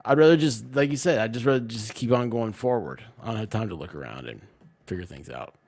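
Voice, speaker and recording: A man's voice: lowish voice, lively intonation, fast, vocal fry, darkish tone. A man chatting casually on a livestream, rambling along and often trailing off mid-sentence. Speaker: online content creator and streamer.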